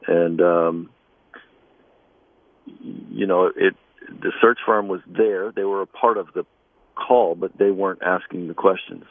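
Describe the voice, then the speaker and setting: A man speaking as an interviewed basketball coach, conversational and measured, with halting pauses. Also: deep voice, steady tone, no echo, phone-quality sound.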